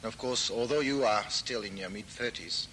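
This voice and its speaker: A man hosting a talk show, interviewing a guest politely and haltingly, with many fillers. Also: on the low side, bright, forceful tone, slight background noise, poor audio.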